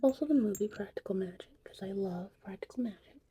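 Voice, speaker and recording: A woman playing a gentle, whimsical witch in an ASMR roleplay, speaking in a soft, breathy, soothing whisper. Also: medium pitch. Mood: gentle, confiding. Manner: slow, soothing, unhurried. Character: gentle, whimsical female witch teaching potion making. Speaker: ASMR performer.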